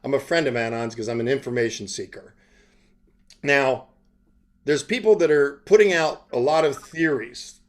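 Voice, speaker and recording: A man hosting an online interview, talking casually and earnestly about his own views in a rambling, halting way. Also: mid-range voice. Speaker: interviewer and online show host. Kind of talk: casual chat with personal testimony.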